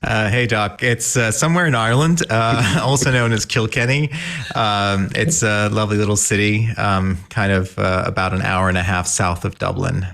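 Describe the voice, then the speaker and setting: Gravelly low-voiced American man. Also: moderate pace. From Malaysia. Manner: relaxed, chatty, laughs often, many ums. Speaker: guest. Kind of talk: casual video-call interview.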